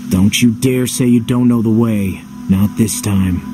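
A man acting a brooding game hero with a low voice and a terse, dry delivery. He sounds wary and distrustful, like someone slow to believe anyone. Music plays clearly behind him. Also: fairly even intonation, lightly acted. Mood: stern, dry. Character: brooding, wary young male warrior narrating his journey. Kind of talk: video game cutscene acting and voice-over.

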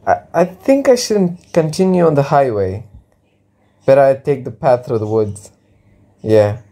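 A woman playing a poor, anxious male vagabond who sells rat traps, speaking haltingly in an amateur radio drama. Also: very deep voice, animated, mellow, heavily acted. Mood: uneasy. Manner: hesitant, halting.

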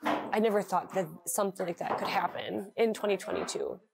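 A woman's voice: mid-range voice, fairly even intonation, a little fast, gravelly, heavy background noise. A young woman, a shop owner, speaking in an interview in an earnest, heartfelt way, on a lapel mic in her shop. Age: young adult. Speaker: small business owner. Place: candle shop, indoors.

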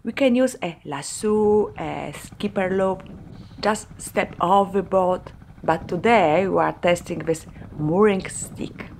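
A woman in her forties whose first language is Polish, narrating a sailing vlog in a slow, careful, explaining manner. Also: lowish voice, vocal fry, a little mellow, slight background noise. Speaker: vlogger narrator. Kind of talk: voice-over narration.

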